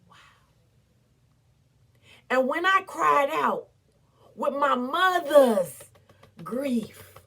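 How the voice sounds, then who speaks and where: A woman giving a dramatic, theatrical recitation as an impassioned, defiant, weary but proud orator, with emphatic repetition and long pauses. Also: high-pitched, expressive, a bit bright. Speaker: performer reciting a historical speech.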